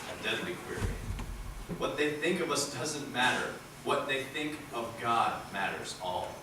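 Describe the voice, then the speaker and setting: A man's voice: mid-range voice, expressive, a little slow. A man reading a script dramatically, in the declamatory manner of a preacher. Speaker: reader in a readers' theatre group. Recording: echoey hall, distant mic, loud noise.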